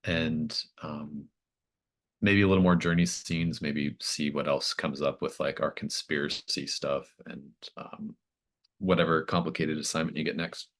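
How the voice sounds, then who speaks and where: Very deep-voiced man running a game. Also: fairly even intonation, unhurried, fairly bright tone. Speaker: game master of a tabletop roleplaying session. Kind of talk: casual wrap-up talk with players.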